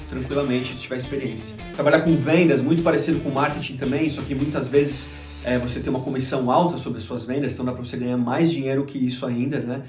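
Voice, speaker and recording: Fast-talking Brazilian man, roomy echo. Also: medium pitch, a little mellow, recorded from a distance. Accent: Brazilian Portuguese. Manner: chatty, conversational, frequent fillers. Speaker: YouTube channel host. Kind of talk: casual talk to camera. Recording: roomy echo, faint music behind.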